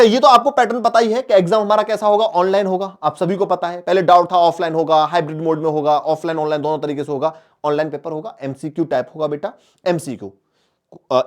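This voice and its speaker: A man coaching students as a teacher, emphatic, repeating key phrases and answering his own questions. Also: high voice. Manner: asks questions and answers them himself. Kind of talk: exam strategy lesson addressed to students.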